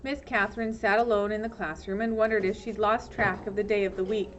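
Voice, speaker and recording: A woman's voice: medium pitch, fairly even intonation, fairly quick, lo-fi sound, slight background noise. A woman reading a children's novel aloud in scripted storybook narration. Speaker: audiobook reader.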